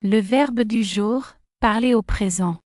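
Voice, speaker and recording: A woman reading verb forms slowly and deliberately for learners. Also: mid-range voice, a little mellow. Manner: slow, deliberate.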